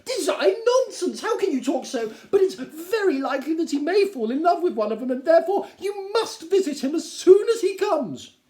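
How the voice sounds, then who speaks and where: Shrill, fussy wife. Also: male voice, big pitch swings, normal speed, hardly any pauses, warm tone. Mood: exasperated. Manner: gushing, exclaiming, plaintive. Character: fussy, excitable middle-aged wife, nagging and gossipy. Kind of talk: theatrical reading of a classic novel. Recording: roomy, echoing indoor sound.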